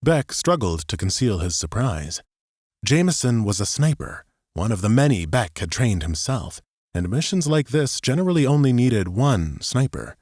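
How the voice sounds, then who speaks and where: A man's voice: mid-range voice, big pitch swings, moderate pace, a little mellow, slightly rough, no echo, clean studio sound. A man reading scripted dramatic fiction as an audiobook narrator.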